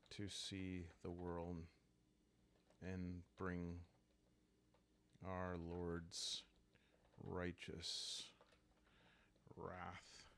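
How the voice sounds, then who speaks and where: A man voicing a proud medieval crusader who recounts his life in a solemn, measured way for a sleepy roleplay. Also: sits low, creaky, exaggerated voice.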